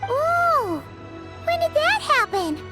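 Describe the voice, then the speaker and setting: An extremely high, squeaky little fairy sidekick from a video game. The pitch leaps around with childlike excitement and easy alarm. Music plays clearly behind the voice. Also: female voice, takes it slowly, exaggerated voice. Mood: startled. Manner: exclamatory, speaks of herself in third person. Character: small floating childlike fairy companion, excitable, easily alarmed. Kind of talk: animated video game dialogue.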